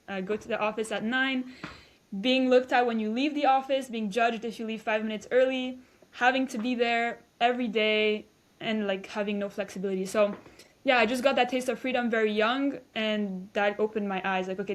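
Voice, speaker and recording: Woman in her 20s from belgium, laid back guest, lots of ums and restarts. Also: fairly high pitch. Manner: relaxed, hesitant with restarts and fillers. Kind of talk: casual interview chat.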